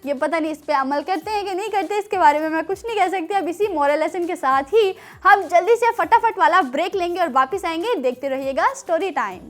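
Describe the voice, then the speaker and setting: A woman's voice: very high-pitched, fairly quick, few pauses. A young woman with a Pakistani accent, presenting and telling stories expressively as host of a children's show. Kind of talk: animated storytelling and presenting for children.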